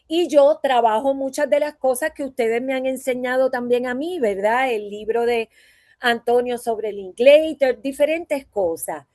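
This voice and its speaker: A woman's voice: sits high, normal speed, a little mellow, no room sound, studio quality. A woman from Puerto Rico with a Puerto Rican accent, a voice teacher giving a conversational conference talk and often checking in with her listeners through tag questions. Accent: Puerto Rican Spanish.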